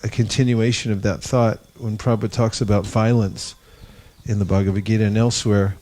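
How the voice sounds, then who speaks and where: A man's voice: on the low side, flat delivery, a little fast, darkish tone. A man leading a devotional class discussion, telling anecdotes with wry humor. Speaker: discussion leader. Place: gathering in India.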